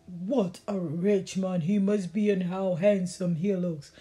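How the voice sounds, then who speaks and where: A pompous old storybook king, grand and a little greedy, speaking slowly and loudly, as a woman puts him on. He sounds set apart from the narration, as if heard from further away. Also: on the low side, fairly even intonation, soft background music, pitched below the performer's own voice. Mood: scheming.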